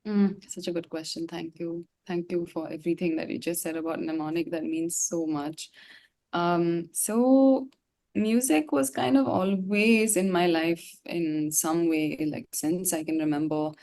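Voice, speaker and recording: A woman from Gangtok, India, speaks in a slightly low-pitched voice. She chats casually as an interview guest, rambling a little in an informal, reflective way. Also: fairly even intonation, measured pace, a little mellow.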